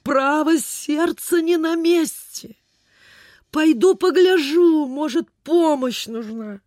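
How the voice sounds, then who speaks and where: A kindly, forgetful country landowner lady in old-fashioned radio theatre. Her voice is high and very slow, full of fussy sighs and pious exclamations, recorded close and dry. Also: a little mellow, no echo. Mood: anxious, caring. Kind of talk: Soviet-style radio theatre acting.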